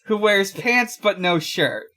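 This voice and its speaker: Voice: mid-range voice, big pitch swings, slow pace, bright, forceful tone. A podcast co-host casually recapping a story in a hesitant, matter-of-fact way. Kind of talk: casual recap of a fan comic.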